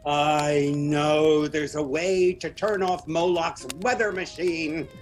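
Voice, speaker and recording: An actor playing a jovial retired hero, fondly telling old adventure stories in a fan-made drama. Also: male voice, on the high side, flat delivery, slow, a little hiss or hum. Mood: nostalgic, amused. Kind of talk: dramatic storytelling dialogue.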